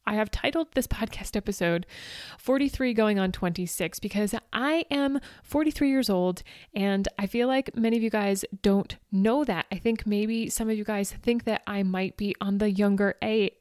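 A woman's voice: medium pitch, normal speed, darkish tone, no echo, studio quality. A woman in her forties hosting a motivational podcast, talking chattily and upbeat to her listeners, laughing often. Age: 40s. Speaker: podcast host. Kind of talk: motivational solo podcast talk.

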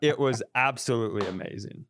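A man's voice: mid-range voice, a little fast, fairly bright tone, a little hiss or hum. A man telling a rambling story on a podcast, swearing and restarting his sentences as he goes. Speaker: comedian podcast host. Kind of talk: casual storytelling chat.

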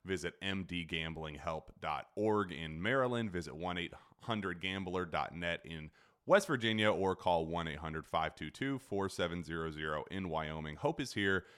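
Male disclaimer announcer, low register, clean resonant tone, scripted read. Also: lively intonation, normal speed, fairly bright tone, clean tone.

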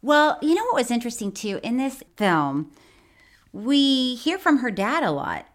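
A woman's voice: fairly high pitch, sing-song, measured pace. A woman hosting a comedy podcast, chatting casually in an amused, teasing way. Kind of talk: casual comedic chat about a documentary.

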